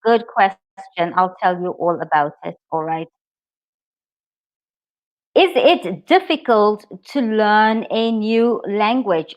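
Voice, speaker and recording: A woman whose native language is English, teaching a live online IELTS lesson, speaking slowly and clearly and cheering her students on. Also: mid-range voice, dry recording. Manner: slow, clear, encouraging, reads out student comments.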